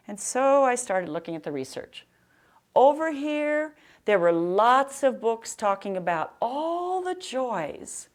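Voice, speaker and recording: An older American woman with a loud, projecting voice, giving an author's talk and reading in an emphatic storytelling manner. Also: mid-range voice. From Nebraska, United States. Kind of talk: public talk with anecdotes and book reading. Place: live talk to a library audience in a room.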